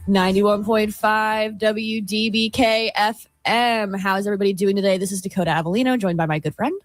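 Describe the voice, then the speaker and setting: A woman's voice: mid-range voice, steady tone, a little fast, faint music behind. A young woman co-hosting a college radio morning show, chatting casually with lots of laughs, fillers and restarts. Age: young adult. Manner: giggly, rambling, lots of likes and restarts. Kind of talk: casual chat.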